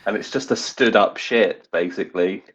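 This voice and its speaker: A man with a fairly low voice improvises comic narration as a player in a roleplay game. He rambles, circling back over his phrases. The recording sounds slightly muffled. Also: normal speed, a bit bright, breathy edge.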